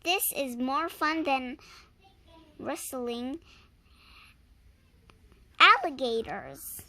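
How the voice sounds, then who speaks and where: A woman's voice: high-pitched, lively intonation, very slow, pushed and bright, heavily acted. A young girl reading the part of a feisty, boastful cowgirl in a tall tale, with folksy, defiant Western talk. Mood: gleeful boasting.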